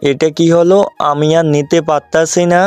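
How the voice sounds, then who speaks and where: A man's voice: on the high side, flat delivery, normal speed, a little mellow, clear, resonant tone, dry recording, faint music behind, natural voice. A man playing a flabbergasted young colleague in a comic romantic audio story. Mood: overwhelmed.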